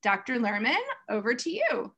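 A woman's voice: a little high, big pitch swings, normal speed, a bit bright. A woman, about thirties, hosting an online lecture series and reading out introductions over a video call. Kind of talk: scripted introduction of speakers. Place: indoor room on a video call.

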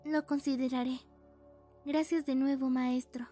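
A woman's voice: high-pitched, little pitch movement, a little slow, dark tone, faint music behind. A woman playing an earnest, anxious teenage heroine in a fan dub, hesitant, with a Latin American accent.

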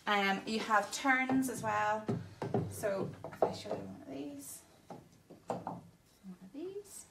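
A woman's voice: a little high, slow pace, very noisy. A woman, enthusiastically demonstrating wooden toys in a toy shop in casual chat. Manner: enthusiastic, upbeat, laughs often. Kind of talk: casual product demo chat.

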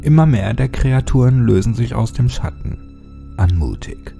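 A man narrating a scripted horror story in a dramatic, suspenseful manner with deliberate phrasing. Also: medium pitch, big pitch swings, gravelly, over music.

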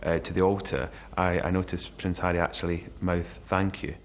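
Guy in his 40s, low voice, chatting as an interviewed commentator. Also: little pitch movement, normal speed, soft background music. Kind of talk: conversational interview.

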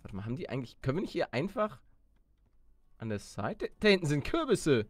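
A man's voice: fairly high pitch, huge pitch swings, fairly quick, no echo. A man giving casual gameplay commentary with some role-play, often hesitating and repeating words as he coaxes along. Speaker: gamer playing a Minecraft story series. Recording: music under the voice. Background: music.

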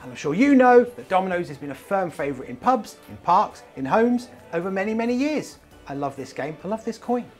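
A man's voice: high-pitched, sing-song, a little fast, few pauses. A British man presents to camera in a chatty, enthusiastic style. His delivery is that of a scripted promotional video, recorded cleanly with music playing behind him. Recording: clean voice over background music.

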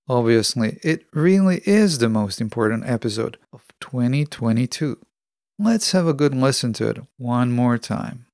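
A middle-aged man hosting a podcast, delivering a scripted motivational monologue in a measured, deliberate way with emphatic pauses. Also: fairly high pitch, creaky, darkish tone, dry recording, studio quality. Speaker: podcast host.

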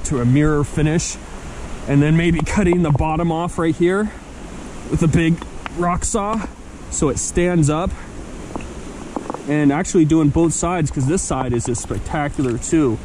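Young man, outdoor vlogger, slightly high voice, noisy camera audio, eager and chatty. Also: moderate pace. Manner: enthusiastic, chatty, talks to camera and his dog. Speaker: vlogger, rockhound. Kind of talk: casual outdoor vlog narration. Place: outdoors on a rocky riverbank in a forest. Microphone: action camera held at arm's length.

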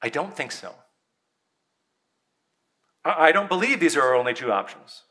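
Bright-voiced pastor preaching. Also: male voice, fairly high pitch, big pitch swings, slightly rough. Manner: conversational, emphatic, with dramatic pauses and drops to a soft voice. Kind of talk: sermon. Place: church stage before a seated congregation.

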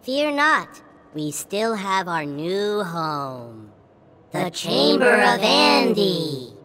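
An actor playing a tiny toy alien in an animated game, making solemn, ceremonious declarations. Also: mid-range voice, very slow, a loud crowd.

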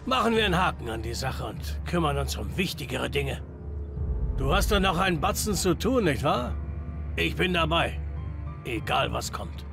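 An actor voicing a gruff, foul-mouthed, betrayed but loyal enforcer in a video game, with a gravelly, blunt delivery. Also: male voice, on the high side, big pitch swings, moderate pace. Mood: gruff, determined. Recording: background music. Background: game music.

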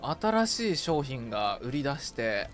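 A man chatting casually as a game streamer with a co-player, bantering and quick to exclaim at what happens. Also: mid-range voice, big pitch swings. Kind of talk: casual gaming chat with a co-player. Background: game music.

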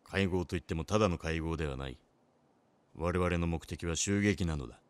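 An actor playing a cool, calculating samurai commander in a game drama, formal, clipped and authoritative. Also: male voice, low voice, moderate pace, no room sound, close to an everyday voice. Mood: stern. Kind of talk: dramatic game cutscene acting.

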